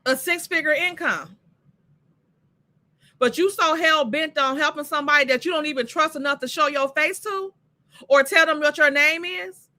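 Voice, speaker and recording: Bright, sassy woman host. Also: high voice, moderate pace. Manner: sassy, exasperated, laughs often. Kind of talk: casual live commentary on reality drama.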